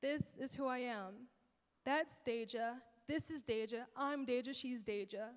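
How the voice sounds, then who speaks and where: A woman's voice: on the high side, unhurried, smooth, big-room sound. A teenage girl reciting a spoken word piece in an earnest, rhythmic way on a church stage into a handheld mic. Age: teens. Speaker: teen performer. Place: church stage, large hall.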